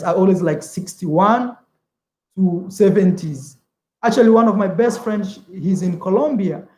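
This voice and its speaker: A man's voice: high-pitched, dark tone, roomy sound. A young man from East Africa whose first language is Swahili, chatting casually on a travel livestream, rambling, repeating words and calling the viewer "man". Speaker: travel vlogger.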